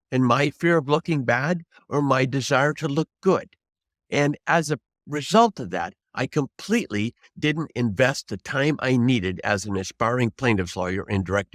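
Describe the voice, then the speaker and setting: A man's voice: mid-range voice, dry recording, studio quality. A man in his seventies, a veteran trial lawyer, giving unscripted advice in an emphatic, reflective way and restating points for stress. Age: 70s.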